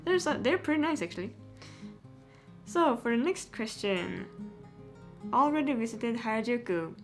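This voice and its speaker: A woman's voice: a little high, animated, normal speed, music in the background. Chatty young woman vlogging. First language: Swedish. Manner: chatty, giggly, lots of "like" and "yeah". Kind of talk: casual Q&A chat to camera.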